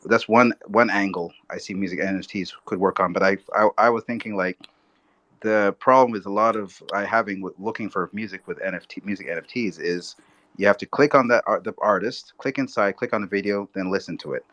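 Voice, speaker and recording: A man joining a live discussion as a participant, talking casually in a rambling, halting way. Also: lowish voice, a bit bright, highs cut off. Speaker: audience participant.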